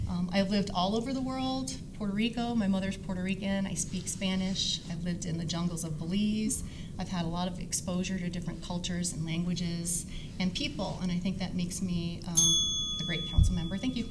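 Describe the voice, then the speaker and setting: A woman's voice: lowish voice, fairly even intonation, fairly quick. A middle-aged woman speaking informally as a council member at a candidate forum, rambling in a conversational way. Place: public forum, live event. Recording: noisy live room sound.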